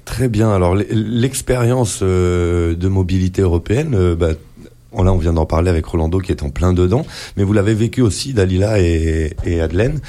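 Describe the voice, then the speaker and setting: An interviewer with a very low voice and a dark, mellow tone. They keep things moving with brisk, encouraging prompts, inviting guests to talk. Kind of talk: interview questions.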